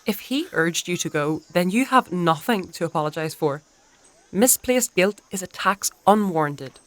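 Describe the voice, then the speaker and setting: A woman playing a wise, composed young ward-keeper in a dark fantasy audio drama, poised and gently coy. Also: fairly low pitch, lively intonation, normal speed, natural voice. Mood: reassuring, thoughtful.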